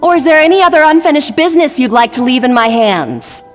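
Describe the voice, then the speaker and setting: A scheming rival chef with a lively, sing-song voice that drips sarcasm. She speaks a little high, with long reverb and faint game music behind. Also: fast. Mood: smug. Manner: smug, taunting, sarcastic. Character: smug ambitious female rival chef, scheming. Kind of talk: video game voice acting.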